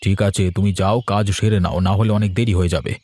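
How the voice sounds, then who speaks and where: A man playing a stingy, grumbling middle-aged village father in a Bengali animated cartoon, talking in a dismissive, complaining way. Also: lowish voice, fast, no echo, natural voice. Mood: matter-of-fact.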